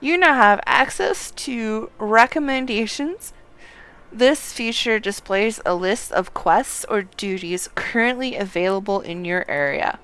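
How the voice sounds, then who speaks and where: A woman's voice: mid-range voice, animated, unhurried, a bit bright, clear, resonant tone, low-quality recording. A woman game streamer giving a casual walkthrough, chatting and reading quest text aloud.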